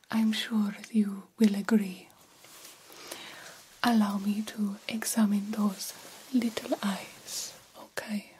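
A woman's voice: medium pitch, mellow, slight background noise, lightly acted, rough recording. A woman voicing an attentive, courtly vampire doctor in a whispered ASMR roleplay, soothing and formal in her phrasing. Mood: attentive, reassuring. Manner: slow, soothing, formal old-fashioned phrasing, reassuring.

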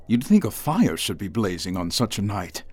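An actor as an excitable, uneasy young man. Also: low-pitched, normal speed, breathy edge, exaggerated voice. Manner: excitable, wondering, increasingly uneasy. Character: nervous, curious young man exploring the past. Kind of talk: radio drama acting.

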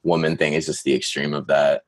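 A young man in his twenties with an extremely low voice talks casually as a podcast guest. He rambles, stacks up fillers and laughs now and then. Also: flat delivery, brisk. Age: 20s. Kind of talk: casual chat.

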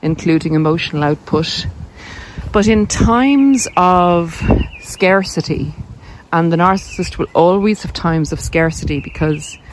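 A woman's voice: lowish voice, unhurried. A woman giving an informal, explanatory monologue as a podcast host, posing questions and answering them in a conversational way. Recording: loud vehicle and wind noise, clicks.

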